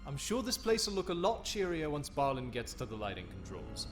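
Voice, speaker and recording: A wry space crewman acted by a man talks breezily with very animated, swooping intonation. It is a sci-fi comic dub with some music behind him. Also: medium pitch, a little fast. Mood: wry, breezy.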